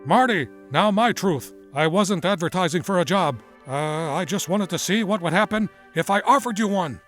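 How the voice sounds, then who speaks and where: An eccentric older inventor for a motion comic. His voice sits very high, and he speaks in grand, wordy exclamations, secretive and excitable, over light background music. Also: moderate pace. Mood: earnest, secretive. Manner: theatrical, exclamatory, wordy diction. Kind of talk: dramatic character acting for a motion comic.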